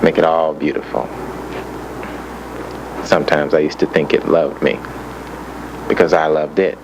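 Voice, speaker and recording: A man's voice: rumbling low, flat delivery, measured pace. A man playing a streetwise young former crack addict, giving an emphatic, colloquial confession in an educational film. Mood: rueful, intense. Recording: noisy old educational film soundtrack.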